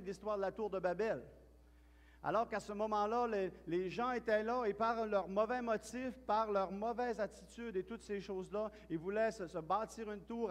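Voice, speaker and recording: A pastor with a Quebec French accent preaching a chatty sermon, telling stories and often restarting and repeating words. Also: male voice, sits high. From Quebec, Canada. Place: church hall. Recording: long hall reverb.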